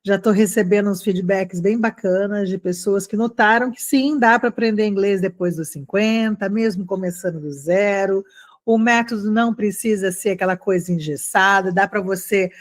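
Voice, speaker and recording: A woman's voice: medium pitch, normal speed. Female online teacher, Brazilian accent, mid-range voice, chatty and encouraging. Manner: animated, encouraging, chatty with frequent check-in questions. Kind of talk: conversational lesson addressed to students.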